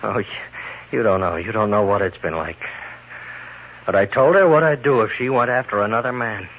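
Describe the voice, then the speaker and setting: Actor as low-voiced, tormented jealous husband, old radio western. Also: male voice, a little fast, natural voice. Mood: bitter, resigned. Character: jealous, tormented, bitter husband on the run in frontier West. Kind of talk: 1950s radio drama acting. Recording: muffled, noisy 1950s radio recording.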